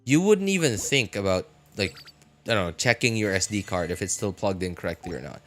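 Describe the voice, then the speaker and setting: Guy with a lowish voice rambling on a game stream, stops and restarts a lot, some music under it. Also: expressive, a bit bright. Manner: rambling, halting, self-correcting. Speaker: live streamer. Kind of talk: casual live game-stream chat.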